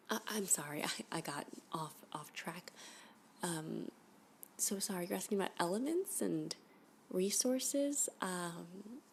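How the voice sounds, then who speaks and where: Casting: actress as smitten, unhinged stalker woman for ASMR roleplay; lowish voice with vocal fry, coy hesitant rambling, intimate half-whispers. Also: a little mellow, a little hiss or hum. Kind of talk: ASMR roleplay, intimate one-sided dialogue.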